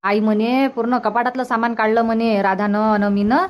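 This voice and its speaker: A woman's voice: on the high side, little pitch movement, normal speed, lo-fi sound, natural voice. A woman voicing a practical village mother in a cartoon, gossipy and advising, repeating herself in a Varhadi Marathi accent. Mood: gossipy, concerned. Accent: Varhadi Marathi from Vidarbha. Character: practical, reassuring village mother of a married daughter. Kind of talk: colloquial cartoon dialogue.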